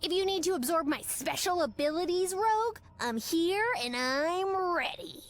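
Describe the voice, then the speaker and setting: An actress playing a perky, eager young superheroine with chirpy speech, in a video game. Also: female voice, extremely high pitch, expressive, normal speed. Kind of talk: video game character dialogue.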